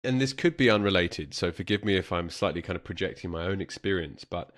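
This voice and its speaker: Low-voiced southern English man, podcast host. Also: a little fast, fairly bright tone. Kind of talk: conversational interviewing.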